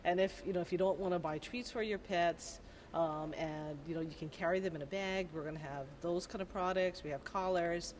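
A woman's voice: lowish voice, fairly even intonation, brisk, darkish tone, a little hiss or hum. An older woman, the event promoter, answering interview questions in a friendly, rambling way full of fillers, into a reporter's handheld mic in an expo hall. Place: large indoor expo hall.

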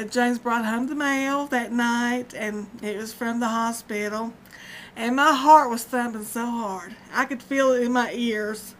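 A woman's voice: a little high, a little hiss or hum, low-quality recording. Chatty woman in her fifties. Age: 50s. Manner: rambling, chatty, laughs often. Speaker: vlogger. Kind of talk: casual personal vlog. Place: dim room at home, indoors. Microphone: webcam at close range.

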